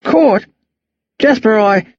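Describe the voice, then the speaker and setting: Casting: man to act a confused old Australian fellow for an audiobook, rough-voiced, halting, full of pleading questions. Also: high voice, expressive, no room sound, muffled recording, voice pushed up, heavily acted. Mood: confused, searching. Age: older.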